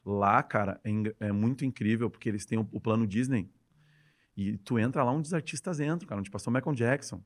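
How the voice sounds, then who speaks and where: A man with a gaúcho Brazilian accent, a dance teacher telling stories with enthusiasm as a podcast guest. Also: on the low side. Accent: Gaúcho Brazilian Portuguese. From Rio Grande do Sul, Brazil. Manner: enthusiastic, rapid storytelling. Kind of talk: casual interview chat.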